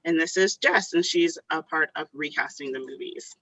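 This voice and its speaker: A woman's voice: low-pitched, moderate pace, fairly bright tone, no room sound, low-quality recording. A woman hosting an online theatre show, giving a welcome speech. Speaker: host, arts organization director.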